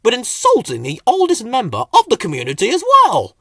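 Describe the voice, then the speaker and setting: A man's voice: extremely high pitch, wildly swooping pitch, brisk, creaky, fairly bright tone, slightly rough, dry recording, studio quality, voice pushed up, exaggerated voice. Man doing a blustering, grumpy old tortoise. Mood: grumbling outrage. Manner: blustering, indignant. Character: grumpy, pompous old male tortoise, easily offended.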